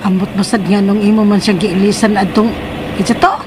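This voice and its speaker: A woman's voice: medium pitch, steady tone, fairly quick, noisy background, close to an everyday voice. An actress playing an older, plain-spoken, nagging mother from the provinces in a radio drama, with a Cebuano Filipino accent. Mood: chatty. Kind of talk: Philippine radio drama acting.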